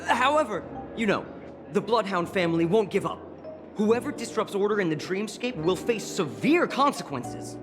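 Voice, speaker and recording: A woman's voice: medium pitch, very animated, normal speed, close to an everyday voice. A woman voicing a courteous but firm security officer in a video game, speaking expressively. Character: courteous security officer guarding confiscated items, firm, animated. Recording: background music behind voice.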